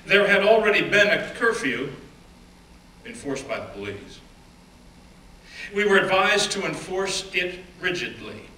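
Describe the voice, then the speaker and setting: A man giving a first-person dramatic reading of an oral history, measured and deliberate, often dropping to hushed phrases. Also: high voice, big pitch swings. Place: stage or event room. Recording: roomy, distant mic with faint background noise.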